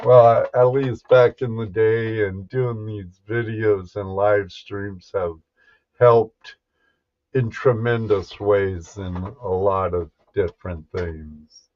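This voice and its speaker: A man hosting a casual livestream, greeting viewers one by one in a folksy, halting way. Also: fairly low pitch, fairly even intonation. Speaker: livestream host. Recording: dull, lo-fi livestream audio.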